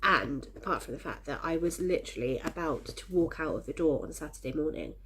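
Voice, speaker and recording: A woman's voice: low voice, animated, a little fast, a bit bright, slight background noise. A woman with a head cold chatting cheerfully and ramblingly to her viewers in a casual crafting vlog, a little congested and coughy. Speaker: crafting vlogger. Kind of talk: casual chat to camera.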